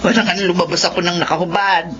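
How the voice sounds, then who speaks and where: Woman playing bitter sarcastic filipino mom, radio drama, sharp voice all over the place, bit of noise. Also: on the low side, steady tone, fast, natural voice. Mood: sly, sarcastic. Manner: theatrical, sarcastic. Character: bitter, drunken middle-aged mother, abandoned wife. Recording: muffled radio drama recording, faint noise.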